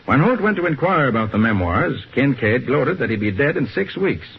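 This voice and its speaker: A man's voice: medium pitch, sing-song, moderate pace. An actor playing a shrewd, confident private detective in a 1940s radio drama, assured as he lays out the facts. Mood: explaining. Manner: assured, explanatory. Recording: muffled, noisy old radio recording.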